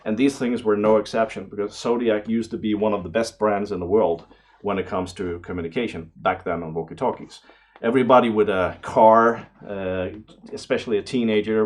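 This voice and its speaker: A middle-aged man talking casually and excitedly as he hosts an unboxing video, rambling along with frequent exclamations. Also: on the low side, fairly quick. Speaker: video host.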